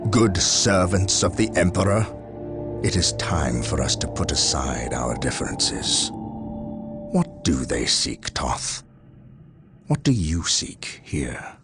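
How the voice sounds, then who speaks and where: A stern, battle-worn commander of space marines speaks in a profoundly deep male voice. He is formal, proud and distrustful, in the weighty style of a game cinematic, with clear music underneath. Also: big pitch swings, slow, heavily acted. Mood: probing. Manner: solemn, forceful, formal. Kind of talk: dramatic video game cutscene acting.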